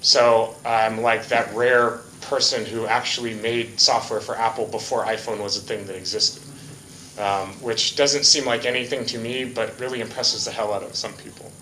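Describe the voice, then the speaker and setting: Man from Hawaii, conference talk, relaxed joking delivery, echoey room. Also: medium pitch, normal speed, a little hiss or hum. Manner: casual, joking, many fillers. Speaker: conference speaker. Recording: echoey hall.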